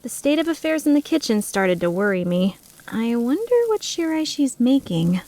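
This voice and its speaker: A woman's voice: on the high side, very animated, moderate pace, dark tone. A woman playing a flustered, skeptical young woman who narrates her own story introspectively in a fan-dubbed drama. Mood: embarrassed, wondering. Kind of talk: fan dub drama with first-person narration.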